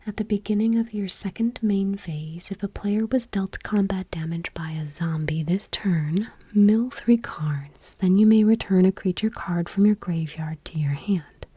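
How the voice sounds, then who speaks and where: A woman doing gentle ASMR, reading aloud in a whispery, breathy, deliberate voice close to the microphone. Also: mid-range voice, no room sound. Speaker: ASMR video creator. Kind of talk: soft-spoken ASMR, reading trading cards aloud. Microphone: close microphone, dry.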